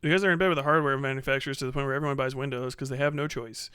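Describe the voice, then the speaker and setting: A man talks casually as a livestream host, answering his chat in slang and swearing freely. His voice is clear and forward-sounding with a bright edge. The sound is close and dry. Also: medium pitch, steady tone, very fast, no echo. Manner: profane, slangy.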